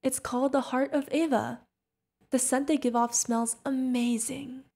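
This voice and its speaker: A woman's voice: high-pitched, flat delivery, unhurried, a little mellow. A woman playing a sweet, curious, friendly feathered alien bartender in an ASMR roleplay, chatting directly to the listener. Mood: sweet, delighted.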